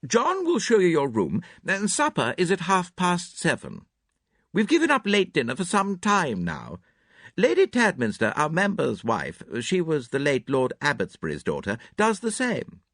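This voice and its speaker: A man playing a grand elderly lady presiding over her household, high and fluttery in a storybook reading. Her voice swoops widely as she exclaims and gives orders. It sounds close and dry. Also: wildly swooping pitch, normal speed, a bit bright, no echo, full character voice. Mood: gracious. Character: gushing elderly woman, imperious lady of the house.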